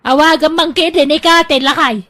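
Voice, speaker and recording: A woman's voice: high-pitched, steady tone, fairly bright tone. A woman playing a scolding older wife. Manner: nagging, quick to scold.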